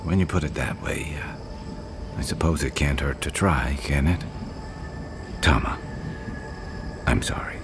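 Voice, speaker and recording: A haunted, world-weary old campaigner from a fantasy game. His voice is extremely low and full of gravel, wry yet heavy with grief. Music plays behind him. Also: unhurried, long pauses. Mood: tender, apologetic. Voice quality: very deep, gravelly. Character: gruff haunted veteran adventurer, grieving and weary. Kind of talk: video game character acting.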